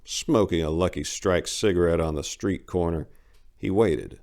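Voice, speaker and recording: A man with a low voice presents as host and narrator. His delivery is that of a dramatic story reading, scripted and performed. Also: a little slow, gravelly, a little mellow.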